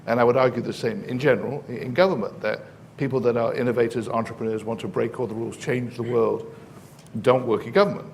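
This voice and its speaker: Halting English-accented man on a panel. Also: mid-range voice, fairly even intonation, a little hiss or hum. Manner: hesitant, many fillers and restarts, explanatory. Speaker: professor on a panel. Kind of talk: conference panel answer. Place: conference panel on stage.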